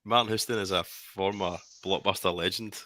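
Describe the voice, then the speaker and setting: A man's voice: low voice, fairly even intonation, normal speed, a loud crowd. A man co-hosting a casual movie livestream, joking and teasing the others. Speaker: podcast co-host.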